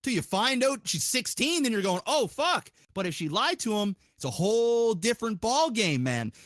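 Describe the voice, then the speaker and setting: A man talking as a podcast host, giving a casual, opinionated monologue in an animated, rambling, profanity-heavy and argumentative way. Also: high-pitched, normal speed, dry recording, studio quality.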